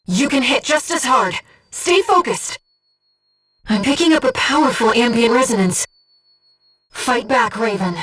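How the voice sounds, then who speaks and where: A woman voicing a gentle, earnest, disembodied female companion in a video game, guiding a pilot with composed, precise callouts and briefings. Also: a little high, breathy edge, distant mic. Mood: alert, urgent. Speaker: mission support companion.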